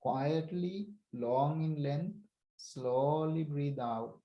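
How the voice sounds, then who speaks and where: A man leading a guided breathing meditation as a teacher, speaking calmly and deliberately in short phrases with pauses. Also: on the high side, muffled recording. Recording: roomy echo.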